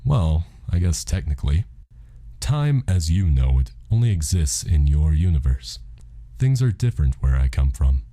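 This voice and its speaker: Audiobook God, played by a male narrator: extremely low, dark, husky, unruffled, deadpan and reassuring. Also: normal speed, dry recording, studio quality, full character voice. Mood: casual. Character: matter-of-fact male God, calm, casual and reassuring. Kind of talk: audiobook character dialogue.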